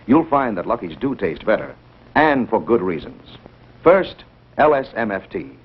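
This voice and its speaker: A man's voice: fairly low pitch, sing-song, normal speed, husky. A man reading a scripted 1950s commercial with an emphatic sales delivery. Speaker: commercial announcer. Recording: dull 1950s broadcast sound.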